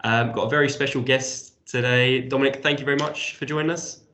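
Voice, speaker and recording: A man's voice: mid-range voice, steady tone, brisk, fairly bright tone, slight background noise. A man hosting an interview podcast, speaking with a cold and rambling a little, with many fillers and repeated words. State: has a cold. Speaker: podcast host. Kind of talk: casual interview chat.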